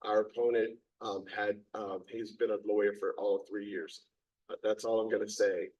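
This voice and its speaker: Hoarse middle-aged man, low voice, halting community talk, distant mic. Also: flat delivery, a little mellow, lo-fi sound. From Maryland, USA. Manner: halting, many ums and restarts. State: hoarse, lost his voice. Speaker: circuit court judge. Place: meeting room.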